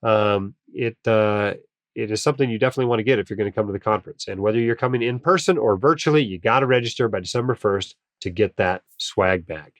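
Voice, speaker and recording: A man's voice: on the low side, fast, dry recording. A male podcast host with a cold that makes him sound a bit nasal, chatting in an upbeat, casual way with frequent "uh" fillers. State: has a cold, slightly nasal. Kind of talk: casual podcast monologue and interview intro.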